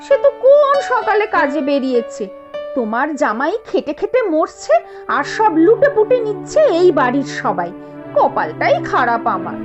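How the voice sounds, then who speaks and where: A woman voicing a spoiled, sharp-tongued young daughter-in-law from a rich family in a cartoon, scornful, complaining and demanding. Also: high voice, huge pitch swings, moderate pace. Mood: whiny. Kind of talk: Bengali cartoon voice acting. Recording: dull lo-fi audio with music behind.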